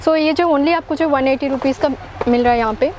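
A woman's voice: sits high, fairly quick, noisy background. A young woman from hosting a market video, chatting with shopkeepers and talking to viewers with many fillers in a small shop. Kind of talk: casual shop walkthrough interview. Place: small crowded shop indoors.

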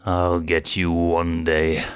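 Old grumbling male animal villain, low and sluggish, put on by a male reader. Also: completely flat delivery, slow pace, smooth, faint music behind. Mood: vengeful.

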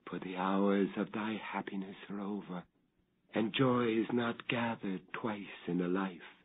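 Man voicing a hushed dying gothic wife, lo-fi. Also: on the low side, slightly rough. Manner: hushed, slow, portentous, archaic diction. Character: dying gothic wife, solemn, reproachful, prophetic. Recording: dull, lo-fi old radio-like recording.

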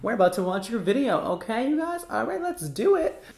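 A man's voice: very high voice, sing-song, a little fast, gravelly, echoey room, slight background noise. A man in his twenties with an American accent, giving casual reaction commentary as host in a sassy, exclamatory, campy way. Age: 20s. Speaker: host, hairdresser. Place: indoor studio set at a desk.

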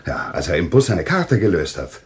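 An actor playing a composed, authoritative doctor in a German radio thriller, speaking deliberately and insistently. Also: male voice, low-pitched, huge pitch swings. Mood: explaining. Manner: deliberate, explanatory, emphatic. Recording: slight background noise with clicks.

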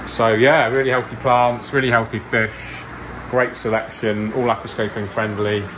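A man's voice: mid-range voice, little pitch movement, moderate pace. Guy vlogging a shop tour, chatty and upbeat, camera mic, noisy background hum. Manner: enthusiastic, chatty. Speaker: aquascaping channel host. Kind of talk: casual vlog tour. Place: aquarium shop indoors.

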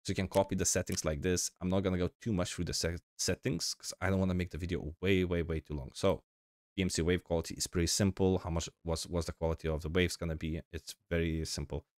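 A young man with a very deep voice talks casually over his gameplay, his voice a little crackly from being under the weather. He rambles with fillers and restarts, and the sound is dry and close to the mic. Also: flat delivery, fast, darkish tone, dry recording. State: sick, slightly crackly voice. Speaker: gaming channel host.

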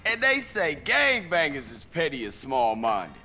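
A man's voice: a little high, huge pitch swings, a little slow, sharp tone, soft background music, full character voice. A man voicing a laid-back young street gangster in video game cutscenes, talking in casual street slang. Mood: cool. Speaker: game protagonist.

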